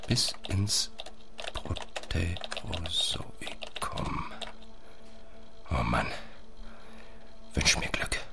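A man's voice: deep voice, big pitch swings, extremely slow, vocal fry, pauses often, very noisy, heavily acted. An actor playing an obsessive, pushy male scientist in a radio drama, urgent and insistent. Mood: excited muttering. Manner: urgent, insistent, muttering when alone.

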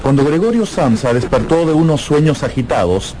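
A man's voice: a little high, animated, moderate pace, very noisy. A man narrating a story as a scripted, dramatic radio drama narrator.

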